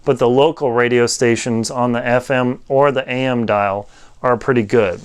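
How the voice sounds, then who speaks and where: A man giving a casual, unscripted product review, talking in a halting way as he thinks aloud while demonstrating. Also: medium pitch, fairly even intonation, clear, resonant tone.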